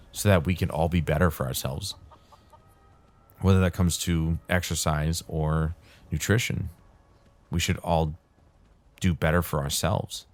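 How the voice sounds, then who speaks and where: A man casually rambling while playing a game, his throat dry and tired, with a calm, soothing way of talking. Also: extremely low pitch. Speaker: gaming channel host. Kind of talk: casual rambling commentary over gameplay.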